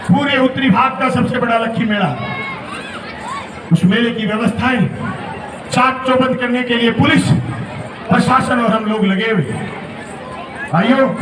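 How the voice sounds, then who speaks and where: Man, legislator addressing an outdoor crowd, very high-pitched and declamatory, booming over PA echo from afar. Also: distant mic. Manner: rousing, declamatory, often shouting. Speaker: member of state legislature. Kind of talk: public speech at Independence Day ceremony. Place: outdoor public ceremony ground. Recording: echoey loudspeaker sound from afar, crowd noise.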